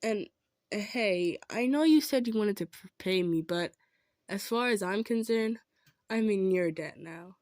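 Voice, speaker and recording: Kind female centaur, played by a woman: mid-pitched, modest, softly insistent, roleplay delivery straight to the listener, no room sound. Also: measured pace, no room sound, clean studio sound, lightly acted. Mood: grateful. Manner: earnest, hesitant, gentle insisting. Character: kind, humble, self-effacing female centaur. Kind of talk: improvised audio roleplay addressed to the listener.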